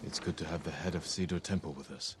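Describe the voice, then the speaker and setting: A very low-pitched samurai commander. He speaks gravely and deliberately, with resolute authority. Prominent game music swells behind his words. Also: steady tone. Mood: calm, solemn. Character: resolute samurai leader, solemn, loyal to his companions. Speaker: war leader. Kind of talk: dramatic video game acting.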